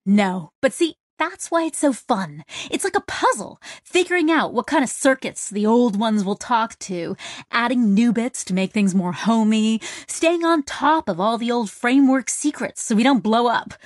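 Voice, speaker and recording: A woman voicing a bubbly, excitable young woman mechanic with a gushing, hugely friendly manner in an audiobook story. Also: on the high side, moderate pace, no echo, studio quality, lightly acted. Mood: delighted. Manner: gushing, exuberant.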